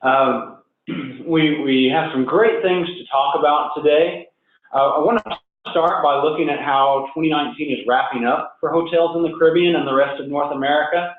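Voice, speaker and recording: Guy with a cold doing a webinar, kinda hoarse and nasally, chatty, phone-line sound, super echoey. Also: on the high side, normal speed. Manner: upbeat, conversational, frequent fillers and restarts. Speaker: webinar presenter. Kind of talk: business webinar presentation. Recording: tinny phone-line audio with heavy echo.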